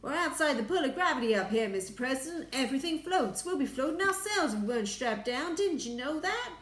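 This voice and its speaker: A woman voicing a cheeky, excitable male astronaut in a storybook, brash and exclaiming. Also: high voice, brisk, echoey room, voice pushed up. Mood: eager.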